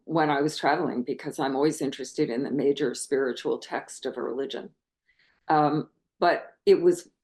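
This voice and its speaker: A middle-aged American woman, a scholar and translator, talking earnestly and thoughtfully in an interview, musing with pauses. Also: low voice, a little mellow. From United States. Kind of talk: reflective interview talk.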